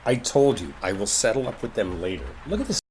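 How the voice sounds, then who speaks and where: A man's voice: lowish voice, expressive, fairly quick, slight background noise, close to an everyday voice, rough recording. A man acting a brash, exasperated young coworker in an intimate roleplay audio, speaking casually with sarcasm and swearing. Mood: curious, pleased.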